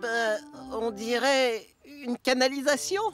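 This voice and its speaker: Friendly young boy train for a kids cartoon, high voice, music behind. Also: steady tone, slow. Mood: doubtful. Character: polite, friendly young male steam locomotive. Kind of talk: children's cartoon acting.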